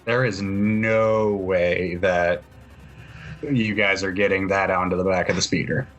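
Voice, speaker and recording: A man's voice: low voice, fairly even intonation, a little slow, fairly bright tone, highs cut off, faint music behind. A man acting as game master, casually laying out rulings and questions in a matter-of-fact way.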